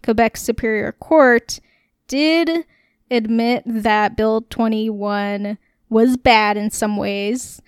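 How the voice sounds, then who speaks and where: A woman's voice: on the high side, takes it slowly, smooth, no echo, clean studio sound. A woman co-hosting a casual political podcast, explaining at length with a wry, sarcastic edge and frequent laughs. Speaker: podcast co-host.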